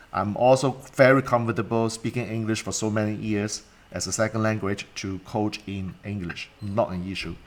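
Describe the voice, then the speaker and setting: A man, a coach talking alone to viewers in a livestream, asking rhetorical questions and repeating points. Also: medium pitch. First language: Cantonese. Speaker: life coach. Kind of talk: solo livestream talk.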